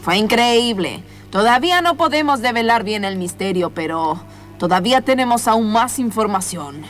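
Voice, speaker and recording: A woman's voice: on the high side, expressive, moderate pace, fairly bright tone, soft background music. A woman playing a mock-serious documentary presenter, explaining mysteries in improvised comedic radio theatre.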